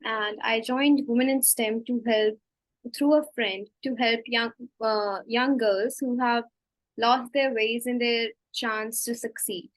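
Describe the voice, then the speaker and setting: A woman's voice: on the high side, fairly even intonation, slow pace, no echo, poor audio. A young woman from India with an Indian English accent, hosting an interview politely and stumbling over a few words. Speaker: interviewer, host.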